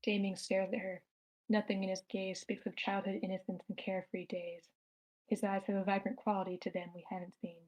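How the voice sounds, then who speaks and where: A woman's voice: medium pitch, little pitch movement, a little fast, a little mellow, rough recording. A woman narrator reading screenplay stage directions aloud.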